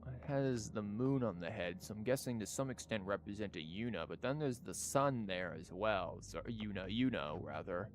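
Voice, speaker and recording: A man's voice: fairly low pitch, moderate pace, hardly any pauses, slight background noise. A man giving casual, joking and sweary live commentary while he plays a video game. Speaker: streamer playing a video game. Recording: music behind the voice. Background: game music.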